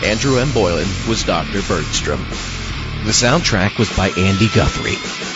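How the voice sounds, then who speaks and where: A man's voice: on the low side, lively intonation, a little slow, dull audio, over loud music. A man reading scripted credits as the announcer of an audio drama show. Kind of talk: scripted narration.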